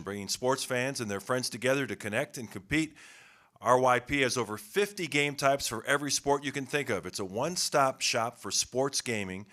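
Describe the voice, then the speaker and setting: A man's voice: mid-range voice, bright, forceful tone. A man co-hosting a sports podcast, giving a sponsor read with a polished, announcer-like delivery. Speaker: podcast co-host.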